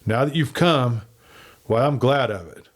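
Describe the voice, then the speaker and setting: A man voicing a nervous, sly frontier agent in a western story, with an edgy, excitable manner. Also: medium pitch, a little slow. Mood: agitated relief.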